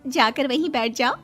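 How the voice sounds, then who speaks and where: A woman voicing boastful, excitable college girls in an animated story, in a theatrical, emphatic way. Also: sits high. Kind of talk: animated moral-story voice acting.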